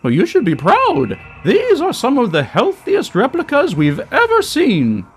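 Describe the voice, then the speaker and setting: A man voicing a brisk, matter-of-fact female inspector in an audio story. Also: extremely high pitch, wildly swooping pitch, husky, soft background music, voice pushed up, heavily acted. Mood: brisk, proud.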